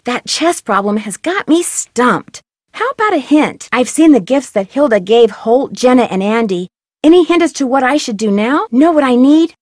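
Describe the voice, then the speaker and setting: A curious, upbeat young girl detective in a point-and-click mystery game. Her voice sits fairly high and swings up and down as she muses over clues and asks for hints. The studio recording is close and dry. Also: no echo. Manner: inquisitive, chatty, asks for hints. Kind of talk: studio video game voice acting.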